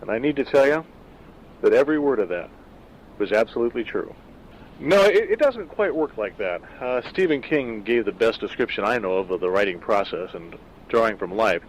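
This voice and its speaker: A man in his sixties, an author reading his own horror story and then chatting in a measured, self-deprecating way. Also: lowish voice, animated, slight background noise, rough recording. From California. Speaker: author and narrator. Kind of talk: scripted horror narration and casual backstage talk.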